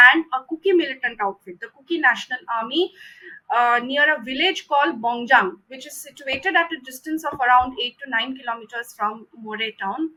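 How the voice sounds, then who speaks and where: A woman's voice: high-pitched, sharp tone, poor audio. A woman giving a prepared political commentary in a formal, emphatic manner, repeating phrases for stress. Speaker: commentator presenting own research.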